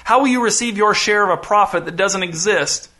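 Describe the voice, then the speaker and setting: A man voicing an imperious, indignant ship's captain in a story, shouting his accusations. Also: sits high, brisk, voice pushed up. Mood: accusing, defiant. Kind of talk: storybook character voice.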